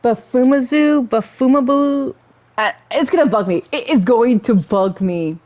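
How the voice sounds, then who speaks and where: A woman with a fairly high voice plays a video game and talks through it casually. She thinks out loud in a chatty way, repeating herself and trailing off as she goes. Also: a little slow. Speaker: gamer playing and reading game dialogue aloud. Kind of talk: casual let's-play commentary with dialogue read aloud.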